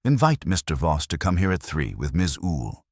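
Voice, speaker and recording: A man's voice: extremely low pitch, huge pitch swings, normal speed, dry recording, studio quality, heavily acted. A man voicing an imperious, exacting genius detective, pompous and formal, in an audiobook. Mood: commanding.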